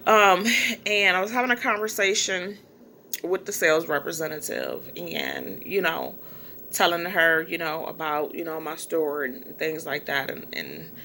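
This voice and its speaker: Woman vlogging, bright tense voice, rambles w lots of um and you know. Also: low-pitched, animated, unhurried, vocal fry, slight background noise. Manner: rambling, many fillers. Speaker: small business owner. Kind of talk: casual vlog monologue.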